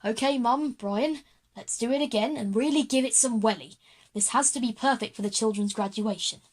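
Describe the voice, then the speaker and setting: A woman's voice: a little high, moderate pace, close to an everyday voice. Woman as Michael, kindly eccentric male headmaster. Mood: enthusiastic. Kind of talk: children's audio drama acting.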